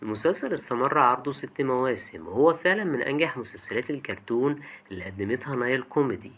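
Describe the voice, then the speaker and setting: A young Egyptian man narrates a video essay at a brisk clip. His Egyptian Arabic is colloquial and chatty, and he speaks straight to the listener like a storyteller hosting his own show. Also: mid-range voice, expressive, a bit bright.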